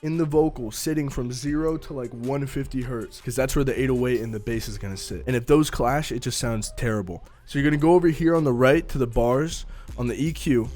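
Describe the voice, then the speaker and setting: A young man with a naturally deep voice giving a casual, slangy tutorial on mixing vocals. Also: brisk, a little mellow, soft background music. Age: young adult. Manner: laid-back slangy teen talk, direct tips. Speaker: music producer teaching vocal mixing.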